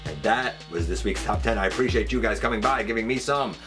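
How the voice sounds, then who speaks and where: A man's voice: fairly low pitch, quick pace, over music. A man hosting a countdown in a casual, chatty style, emphatic and enthusiastic, rambling as he thinks aloud and corrects himself. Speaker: host of a fan countdown channel.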